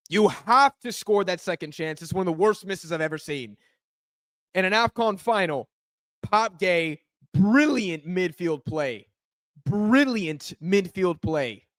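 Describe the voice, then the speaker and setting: Hoarse, excitable man calling a live match. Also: a little high, measured pace, dry recording, clean studio sound. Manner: excitable, shouts at big chances. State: hoarse, losing voice. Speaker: watch-along host. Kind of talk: live match watch-along commentary.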